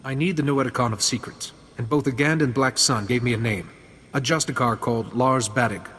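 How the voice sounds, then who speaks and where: An actor playing a calm, patient male Jedi who speaks in a measured, polite way in a video game. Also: medium pitch, slightly rough, in a large hall.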